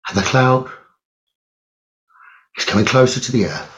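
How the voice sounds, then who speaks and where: Very slow male meditation guide, roomy echo. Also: mid-range voice, lively intonation, a bit bright. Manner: soothing, measured, with long pauses. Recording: roomy echo, dull lo-fi sound.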